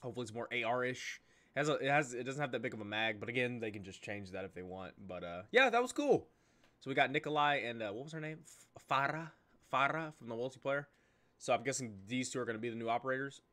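A man's voice: medium pitch, quick pace, sharp tone. A young American man giving casual reaction commentary as the host of a gaming video. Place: indoors at a desk, computer setup.

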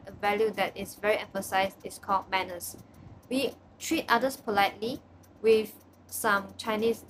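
A woman's voice: medium pitch, background noise. A nineteen-year-old woman from Malaysia with a Malaysian English accent, talking casually about herself in her bedroom, often restarting her sentences. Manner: chatty, frequent restarts and repeats. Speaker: student. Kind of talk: casual personal video report. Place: small bedroom, indoors. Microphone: webcam at desk distance.

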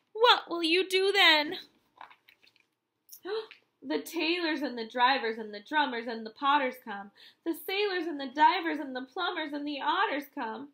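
Female teacher, 30s, very high bright voice, animated picture-book read-aloud for little kids. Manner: animated, exaggerated wonder, addressing young children with questions. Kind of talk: children's read-aloud counting lesson.

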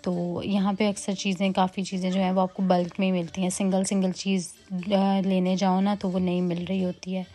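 Flat, mellow-voiced woman vlogger. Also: fairly low pitch, normal speed, hardly any pauses. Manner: chatty, lists items with many fillers. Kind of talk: casual voice-over narration. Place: quiet indoor room, voice-over.

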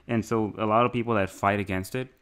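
A man raised in Mexico co-hosts a relaxed podcast, his voice sitting very low. He rambles and muses as he talks, often breaking into laughter. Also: fast talker, smooth. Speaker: podcast co-host. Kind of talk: casual chat.

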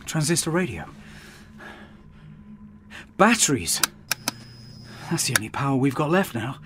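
An actor playing a sorrowful, resigned man, subdued and halting, in an audio drama. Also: medium pitch, big pitch swings, noisy background. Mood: sad, resigned. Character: sorrowful, resigned man speaking to a loved one.

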